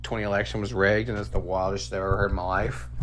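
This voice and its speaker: A man's voice: fairly low pitch, racing. A man co-hosting a comedy podcast, telling stories in casual, profane banter and animatedly acting out quotes. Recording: noisy with a steady hum.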